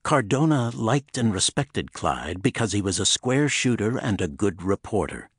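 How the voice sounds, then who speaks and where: Male audiobook narrator for a dramatic crime pulp: low register, husky grain, close dry recording. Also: big pitch swings, normal speed, no room sound.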